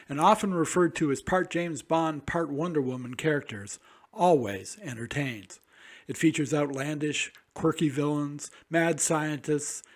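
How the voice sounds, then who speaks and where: A man hosting a radio show, reading a scripted introduction in a grand announcer's manner. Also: on the high side.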